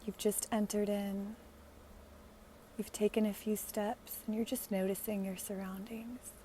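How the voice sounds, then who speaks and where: Woman, very unhurried pace, soft dark timbre, gentle hushed meditation guide, long pauses, soothing. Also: medium pitch, level tone, a little hiss or hum.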